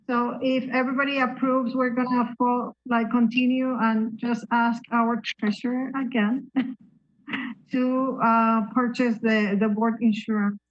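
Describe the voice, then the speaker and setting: A woman chairing a board meeting, talking informally with many pauses and restarts. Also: high-pitched, lo-fi sound. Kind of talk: casual meeting talk.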